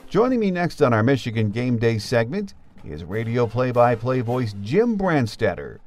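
An American man speaks as the host of a sports podcast, delivering scripted segments and interview questions. His pitch is average for a man, and faint music can turn up behind him. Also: a little mellow.

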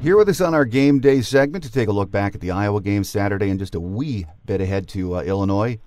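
A man hosting a sports podcast, giving earnest, measured fan opinion, then opening an interview. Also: on the low side, darkish tone, faint music behind. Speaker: podcast host. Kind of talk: scripted sports commentary and interview.

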